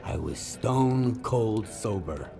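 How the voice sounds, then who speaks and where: An actor playing a blunt, serious stalker in a video game, speaking in clipped lines with a gravelly voice. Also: male voice, fairly low pitch, lively intonation, slow, over music, full character voice. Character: terse, sober male stalker questioning someone. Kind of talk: video game cutscene acting.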